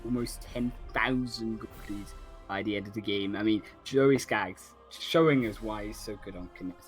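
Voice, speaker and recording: A man's voice: fairly low pitch, soft background music. Analytical male esports color commentator. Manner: analytical, halting with many fillers. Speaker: esports caster.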